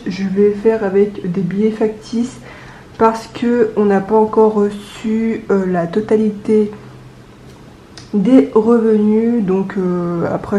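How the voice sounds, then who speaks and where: A woman's voice: medium pitch, mellow, roomy sound, a little hiss or hum. Woman talking through a stuffy nose. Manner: chatty, many hesitations. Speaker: budgeting vlogger. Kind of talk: casual chat to camera.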